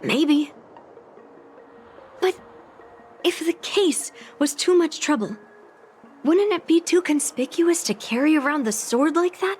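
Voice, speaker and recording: High-voiced, thoughtful young investigator. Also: female voice, expressive, slow pace, pauses often, a little mellow, faint music behind. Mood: pensive. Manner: musing, questioning to herself. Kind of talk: video game voice acting.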